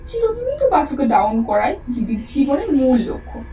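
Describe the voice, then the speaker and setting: Woman narrator, expressive story reading, very high pitch, lively intonation, roomy sound. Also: normal speed, distant mic.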